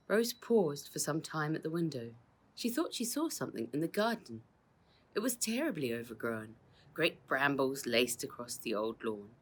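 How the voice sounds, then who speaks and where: A woman reading a children's story as its author, in a measured, expressive storytelling manner. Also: a bass voice, slightly rough. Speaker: author reading their own book. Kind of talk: children's audiobook narration.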